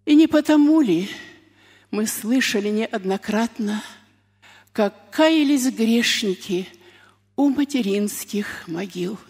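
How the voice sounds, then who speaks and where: A woman gives a solemn, dramatic poetry recitation in a church, speaking deliberately and expressively with drawn-out words and pauses. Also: fairly high pitch, animated, darkish tone, breathy edge, long reverb tail.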